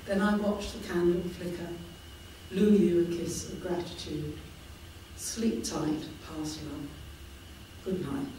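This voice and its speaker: A person reading a reflective poem at a live poetry evening, slow and quiet. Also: medium pitch, fairly even intonation, very noisy. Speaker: poet reading at an evening event. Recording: echoey hall, voice from far off.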